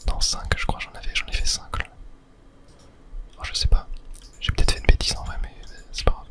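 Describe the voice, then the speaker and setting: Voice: a little fast, bright, forceful tone. A person whispering ASMR commentary over a video game on a close mic, thinking aloud hesitantly and correcting themselves as they go. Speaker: gaming video host.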